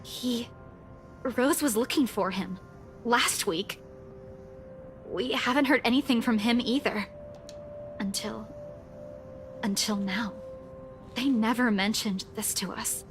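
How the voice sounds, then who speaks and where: Jumpy young office girl, higher voice, hesitant, faint music behind. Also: slow pace, long pauses. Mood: anxious. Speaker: company employee.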